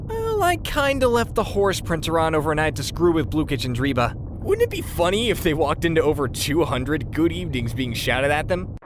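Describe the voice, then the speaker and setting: An actor plays a breezy prankster hero from a cartoon. The voice is mid-pitched and lively, flippant and full of sheepish excuses. A vehicle-like hum runs behind it. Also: moderate pace, hardly any pauses, lightly acted. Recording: noisy background with vehicle-like hum.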